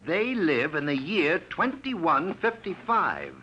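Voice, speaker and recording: A slick villain, lively delivery, old radio play with hiss. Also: male voice, on the high side, slow pace. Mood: persuasive. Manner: persuasive, smooth talker. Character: smooth scheming male time-traveler villain. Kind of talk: 1950s radio drama acting. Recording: dull noisy 1950 radio broadcast.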